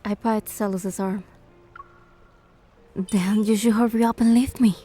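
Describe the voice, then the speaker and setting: An actress playing a curious young woman who narrates her date in a game, gentle, measured and playful. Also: medium pitch, steady tone, faint music behind, lightly acted. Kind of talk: scripted first-person game narration and dialogue.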